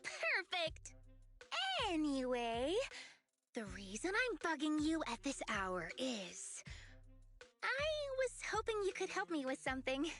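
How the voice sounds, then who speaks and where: A woman voicing an excitable, eager young woman researcher obsessed with monsters in a video game. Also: high-pitched, takes it slowly, faint music behind, heavily acted. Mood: eager, chatty. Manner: animated, eager, dramatic.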